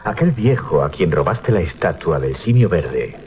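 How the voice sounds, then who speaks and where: A man's voice: sits low, very animated, moderate pace. An actor playing a rejuvenated man who speaks with ominous deliberation in a radio horror drama. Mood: coldly gloating. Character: Martin, rejuvenated man, coldly gloating over his victim. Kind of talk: 1980s Spanish radio horror drama acting. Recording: dull 1980s radio recording.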